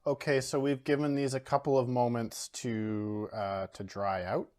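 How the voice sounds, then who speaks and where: A man's voice: medium pitch, a little slow. A man, an art educator in his home studio, talking casually through a painting demo with lots of ums and uhs. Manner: relaxed, talks through steps with many fillers.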